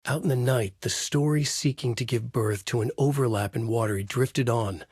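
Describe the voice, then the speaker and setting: An actor playing a brooding writer who narrates his dark thriller in a grave, deliberate, noir style, as in a video game. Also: on the low side, slightly rough, no room sound, clean studio sound. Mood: tense, ominous. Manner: grave, deliberate, moody storytelling.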